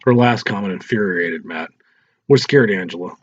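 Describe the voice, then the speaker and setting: A man's voice: low-pitched, moderate pace, muffled recording, a little hiss or hum. A man narrating a scripted story in an audiobook style.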